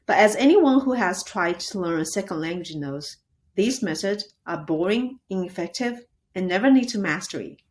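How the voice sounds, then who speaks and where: Earnest woman founder giving a pitch. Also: darkish tone. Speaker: founder and CEO of a language school. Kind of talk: rehearsed pitch presentation.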